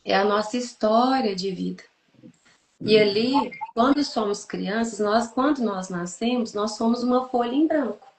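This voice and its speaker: A woman's voice: a little high, measured pace, slight background noise. A Brazilian woman in her thirties explaining things didactically in a podcast conversation, listing and repeating points for emphasis. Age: 30s. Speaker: therapist, podcast host. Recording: echoey, roomy sound.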